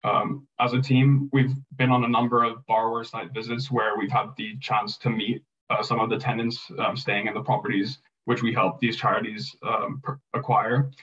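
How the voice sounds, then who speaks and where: A man's voice: mid-range voice, level tone, normal speed, few pauses, fairly bright tone, recorded from a distance, muffled recording. A man on a panel describing his loan management work, speaking hesitantly with many fillers, over a video call. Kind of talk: prepared talk on a panel.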